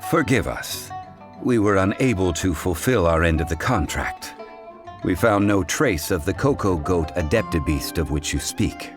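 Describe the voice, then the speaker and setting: A composed, learned gentleman with a low, expressive voice. His speech is formal, courteous and measured, in video game style over music. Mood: apologetic. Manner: formal, measured, refined, faintly reproving. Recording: music under the voice.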